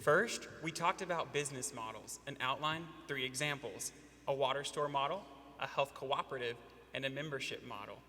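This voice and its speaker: A man's voice: mid-range voice, moderate pace, sharp tone, big-room sound. A man from Arkansas giving a rehearsed student presentation with a confident, emphatic delivery. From Arkansas, USA.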